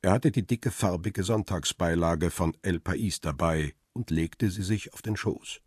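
A man narrating a crime radio play in the first person, calm and reflective, and speaking lines as the paparazzo protagonist. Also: low-pitched, measured pace, breathy edge, no echo, studio quality.

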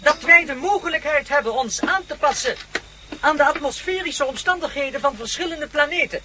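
An actor in a radio play playing a calm, proud alien space traveller who speaks formally and courteously. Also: male voice, very high voice, fairly quick, heavily acted. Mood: confident. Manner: formal, matter-of-fact, courteous. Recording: noisy old radio play recording.

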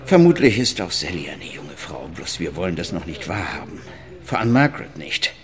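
A man's voice: low voice, sing-song, a little fast. An actor playing a guarded, middle-aged father being questioned in a German radio drama. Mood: guarded, reflective. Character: Alex Henry, soft-spoken father and museum man under questioning.

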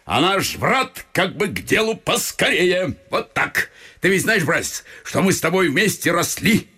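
A man's voice: high-pitched, very animated, a little slow, pushed and bright, husky, heavily acted. A man playing a talkative, touchy old retired officer turned provincial clerk in a Russian radio vaudeville, theatrical and effusive, with folksy old-fashioned turns of speech. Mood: hearty, impatient. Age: older. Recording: stage radio play with faint music.

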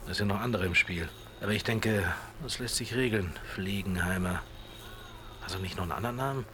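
An actor playing a charming, quietly menacing gangster boss in his thirties in a German radio play. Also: sits low, brisk, noisy background, close to an everyday voice. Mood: smooth, evasive.

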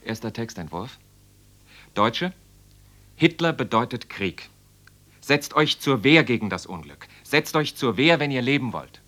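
An actor playing an earnest young resistance activist, urgent in dramatic radio play dialogue. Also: male voice, medium pitch, very animated, measured pace, pauses often, pushed and bright, exaggerated voice.

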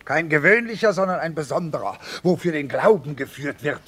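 An actor playing a pious, pompous and timid army chaplain, preachy in manner, in a theatrical radio drama. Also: male voice, a little high, animated, brisk, fairly bright tone. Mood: pompous, righteous.

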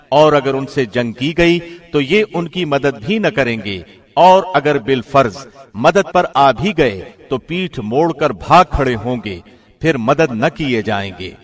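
A man reading a scripture translation from a script in the formal, measured manner of a radio presenter. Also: medium pitch, lively intonation. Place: studio.

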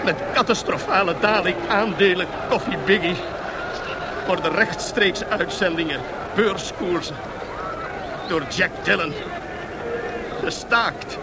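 An actor playing a public announcer calling out alarming news in a radio drama, amid loud crowd noise. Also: male voice, sits high, takes it slowly, pauses often. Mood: urgent announcement.

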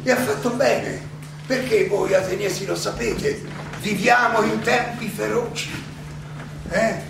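A man acts out an aging philosopher addressing his judges in a slow theatrical monologue. He is halting and rhetorical, pausing and repeating himself for weight. The voice is gravelly and comes from far off in a noisy, reverberant space. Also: high-pitched, exaggerated voice. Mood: earnest, reflective. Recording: distant, very echoey, noisy stage recording.

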